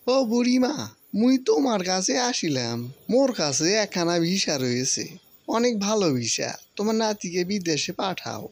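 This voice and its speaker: Casting: performer as animated village cowherd man, rural Bangladeshi dialect, friendly, persuasive, dry studio sound. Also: mid-range voice, moderate pace, no room sound. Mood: coaxing. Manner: coaxing. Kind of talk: cartoon character dub.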